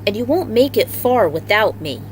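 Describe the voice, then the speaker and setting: A woman's voice: medium pitch, sing-song, normal speed, slight background noise, close to an everyday voice, poor audio. A woman voicing a boastful, weary male veteran soldier in a blunt, cocky manner for a story. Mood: confident, weary.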